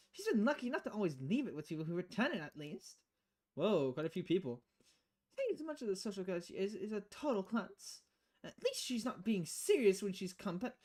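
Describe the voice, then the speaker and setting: A woman game streamer reading visual novel dialogue aloud in a theatrical, expressive way. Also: mid-range voice.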